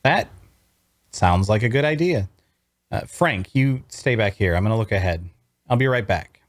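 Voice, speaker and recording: A man playing a wry young traveler, telling his fantasy adventure in measured, dramatic first-person narration at a table read. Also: lowish voice, expressive, dry recording, studio quality, lightly acted. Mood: cautious. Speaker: voice actor.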